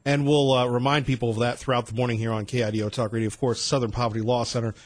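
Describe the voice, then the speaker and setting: A man's voice: mid-range voice, level tone, very fast, dry recording. A man hosting a talk radio show, conversational and asking leading questions of his guest. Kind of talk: conservative talk radio interview. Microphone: studio mic.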